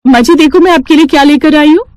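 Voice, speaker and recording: A woman's voice: high voice, quick pace, a little mellow, pitched above the performer's own voice, close to an everyday voice. A woman voicing a smug, boastful young working daughter-in-law in a story, sugary to elders and condescending. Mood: sweetly boastful.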